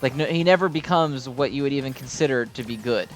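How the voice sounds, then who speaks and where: A man's voice: medium pitch, fast talker. Rambling man over game music. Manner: rambling, self-deprecating, informal fan talk. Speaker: gaming video host. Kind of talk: casual unscripted gameplay commentary.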